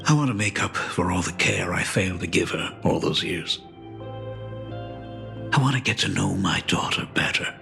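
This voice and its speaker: A man's voice: low voice, lively intonation, normal speed, creaky, over music, exaggerated voice. An actor playing a middle-aged doting father in a video game, self-deprecating, polite and hesitant in an emotive way. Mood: earnest, humble.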